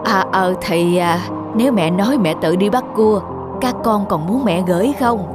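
A woman voicing a hardworking country mother who speaks softly and reassuringly, for an animated story. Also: mid-range voice, moderate pace, close to an everyday voice. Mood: gentle, reassuring. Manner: soft, reassuring, hesitant. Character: hardworking rural mother, gentle and self-effacing. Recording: loud background music.

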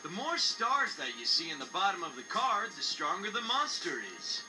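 An actor playing a confident, friendly teenage boy in a cartoon dub. He explains casually with animated pitch, music playing behind him on a TV recording. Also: moderate pace, close to an everyday voice, rough recording. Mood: instructive. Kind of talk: English-dubbed anime acting. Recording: TV playback filmed, music behind.

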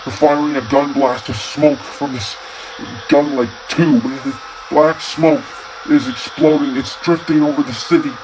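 A man playing a doomed radio news reporter, giving a halting, stammering eyewitness broadcast in an old-time radio drama. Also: fairly high pitch, steady tone, background noise, natural voice. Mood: panicked. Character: doomed male radio reporter, dread turning to panic.

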